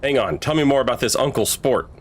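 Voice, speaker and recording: A man's voice: medium pitch, quick pace. A man doing a casual video game playthrough, reading his dialogue choices aloud.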